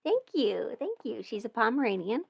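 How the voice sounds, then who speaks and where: High-voiced woman rambling casually on a livestream. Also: big pitch swings, moderate pace, creaky, husky. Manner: informal, rambling, thinking aloud. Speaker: livestream host and voice performer answering viewer questions. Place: indoors at a desk at home.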